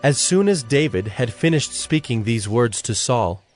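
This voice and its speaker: A man reading scripted Bible story narration in a measured, solemn manner. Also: medium pitch, lively intonation, faint music behind.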